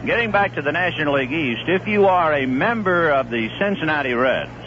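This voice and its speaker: Excited baseball announcer on old radio. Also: male voice, a little fast. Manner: calls plays with rising excitement, adds analysis between pitches. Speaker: play-by-play announcer. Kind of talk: live baseball radio commentary. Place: stadium broadcast booth. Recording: dull, noisy 1970s AM radio broadcast.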